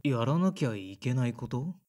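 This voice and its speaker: A man's voice: medium pitch, lively intonation, normal speed, darkish tone, lightly acted. An actor playing a blunt, sullen man in his thirties in an audio drama, casual and introspective. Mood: puzzled. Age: 30s. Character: sullen, blunt son of a weaving family, mid-thirties. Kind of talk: audio drama narration and dialogue.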